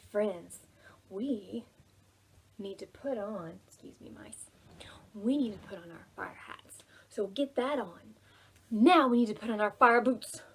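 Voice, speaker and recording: Woman, children's storytime host, high-pitched, slow delivery, exaggerated singsong manner, read-aloud style. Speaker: children's librarian hosting preschool storytime. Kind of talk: read-aloud storytelling and action rhymes for preschoolers.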